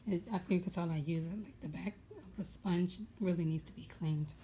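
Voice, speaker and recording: A young woman with a raspy voice chatting casually at home, rambling with many fillers as she goes through her makeup bag. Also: on the low side, steady tone, brisk, dark tone, slight background noise. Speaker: beauty vlogger.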